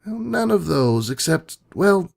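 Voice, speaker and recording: A man's voice: sits high, big pitch swings, measured pace, gravelly, pitched above the performer's own voice, exaggerated voice. A man voicing a smirking, frank ghostly double of a female pony, casual and knowing, in a dramatic story reading. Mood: wry, knowing. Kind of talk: dramatic fan fiction reading.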